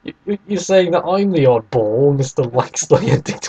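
A man's voice: on the high side, animated, normal speed, mellow, breathy edge. A man chatting casually as a podcast co-host, bantering and arguing his point. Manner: bantering, argumentative. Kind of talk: casual debating chat.

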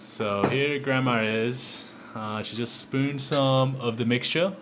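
A man's voice: medium pitch, slow. A young adult man casually narrating a home cooking video in a playful, joking way. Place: home kitchen and dining room. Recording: clearly noisy home camera sound.

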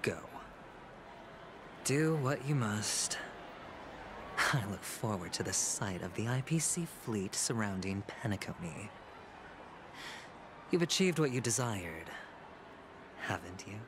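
An actor playing a suave, smug, reckless young gambler in a video game, teasing and theatrical. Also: male voice, fairly low pitch, big pitch swings, takes it slowly, pauses often, noisy background. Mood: bitter, taunting.

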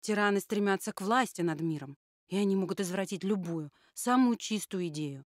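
A woman's voice: medium pitch, brisk, no room sound, clean studio sound, lightly acted. A woman voicing a female puppet survivor who solemnly warns people about the future. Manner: solemn, admonishing. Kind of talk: puppet theatre performance.

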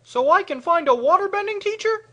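A sensible teenage girl from a cartoon parody, played by a woman. She has a high voice and a dry, teasing sarcasm. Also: animated, fairly quick, bright, forceful tone, exaggerated voice. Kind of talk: comedic cartoon parody dub.

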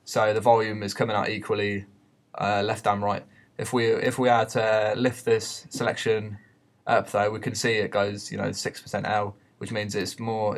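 Male tutor, lowish monotone voice, hesitant, talking through an unscripted screen-recorded lesson. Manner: hesitant, explanatory. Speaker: media teacher giving a software tutorial.

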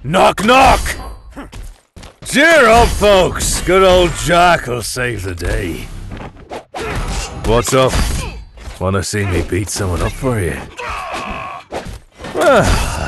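Casting: cocky, unhinged tough-guy brawler, video game; gravelly, unhurried, jaunty delivery over music. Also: fairly low pitch. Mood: rowdy, boisterous. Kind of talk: video game character voice lines.

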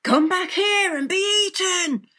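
A woman's voice: on the high side, slow. Female narrator as big bad wolf, male, greedy, sneaky, menacing, relishing words, children's storybook. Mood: roaring, menacing.

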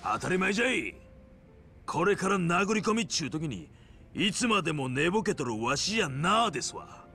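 An actor playing a middle-aged yakuza captain in a video game, gruff and swaggering, with a rough Hiroshima accent and a scolding, loyal temper. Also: male voice, a little high, big pitch swings, normal speed, sharp tone, soft background music, heavily acted. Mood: scornful, cocky. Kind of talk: Japanese video game voice acting.

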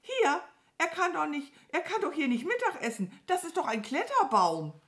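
A bossy, excitable storybook crow, acted out by a woman. The voice sits high and swings widely, with an agitated, scolding manner that frets over everything. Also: a little slow. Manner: agitated, scolding, stammers when alarmed. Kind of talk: children's storybook character voice.

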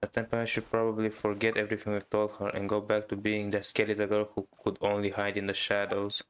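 Smooth, even-voiced man. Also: on the low side, fast. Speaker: gaming YouTuber. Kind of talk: reading game dialogue aloud in a playthrough.

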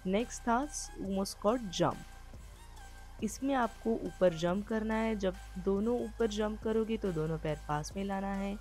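A woman's voice: mid-range voice, a little mellow. Woman fitness vlogger, instructional voice-over, mid-range voice, light music bed. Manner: explains steps and reminders directly to viewers.

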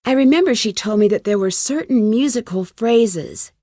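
A woman's voice: fairly high pitch, expressive, moderate pace, mellow, no room sound, studio quality. An actress voicing a determined, inquisitive young woman detective with an American accent in a video game, earnest and questioning. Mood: thoughtful. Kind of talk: video game voice acting, isolated dialogue lines.

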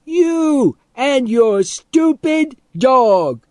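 A man voicing a defiant, mouthy young boy in a story, jeering and shouting to pick a fight. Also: lowish voice, very slow, no echo, studio quality.

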